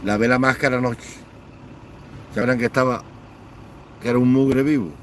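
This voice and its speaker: A man's voice: mid-range voice, steady tone, normal speed, slight background noise. A middle-aged man hosting a livestream, greeting viewers by name and ranting in a bitter, scornful way. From Cuba. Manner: bitter, scornful, emphatic lists. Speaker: livestream host. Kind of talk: livestream chat, reading viewer comments and ranting. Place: home in Houston, Texas.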